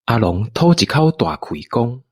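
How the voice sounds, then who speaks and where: A man's voice: medium pitch, very animated, measured pace, darkish tone, husky, no room sound, clean studio sound. A man with a Taiwanese accent narrating a scripted radio drama as its storyteller.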